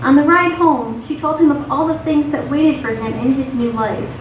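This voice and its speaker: A woman's voice: high voice, normal speed. A woman narrating a scripted radio theatre piece on stage. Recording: echoey stage, distant mic, background noise.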